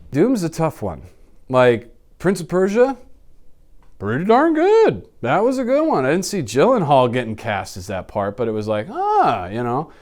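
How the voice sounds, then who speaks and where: A man, chatting casually off the cuff in a slangy, animated manner as an interview guest. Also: mid-range voice. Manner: animated, slangy, blurts sound effects. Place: small indoor office, seated.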